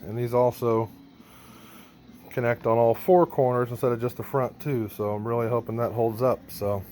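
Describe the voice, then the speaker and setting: A man's voice: mid-range voice, fairly even intonation, normal speed, warm tone. A man narrating a hands-on gear vlog in a casual, informal way, thinking aloud as he works. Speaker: band member showing a PA rack rebuild.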